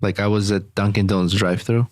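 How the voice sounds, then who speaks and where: A man's voice: on the low side, fairly even intonation, fast, no echo, clean studio sound. A man whose first language is German, co-hosting a podcast in crude, casual banter, swearing freely and laughing often. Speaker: podcast co-host.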